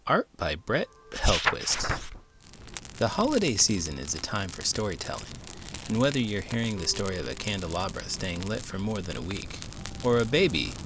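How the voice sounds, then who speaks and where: A man reading a children's book aloud as an expressive storyteller, in the manner of an elementary school teacher. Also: fairly low pitch, noisy background.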